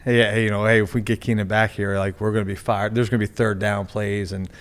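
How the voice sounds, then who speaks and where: A man's voice: on the low side, little pitch movement, a little fast, clear, resonant tone. A man being interviewed as a sports team's general manager, talking casually with many fillers and restarts. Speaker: NFL general manager, guest.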